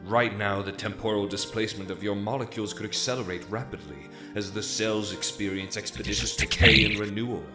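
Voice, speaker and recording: A man voicing a doting, rambling ghostly father in an animated comedy, solemn and wordy. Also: on the low side, fairly quick, hardly any pauses, lightly acted. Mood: ominous. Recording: echoing, music behind. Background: music.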